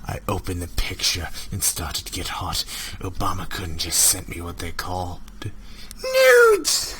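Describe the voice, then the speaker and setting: A man reading a story aloud in a theatrical, comedic way, often dropping into a hushed, breathy delivery. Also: sits low, huge pitch swings, unhurried. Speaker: host reading fan fiction aloud to friends. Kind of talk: mock-dramatic comedic readalong.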